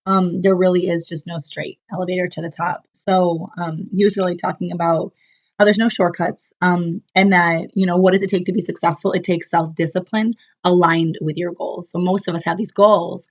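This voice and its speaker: Woman with a cold, flat voice. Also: on the low side, moderate pace. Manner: chatty, many fillers and restarts, upbeat pep talk. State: has a cold, voice sounds lower and rough. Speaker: fitness business coach. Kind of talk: casual solo recap talk to camera.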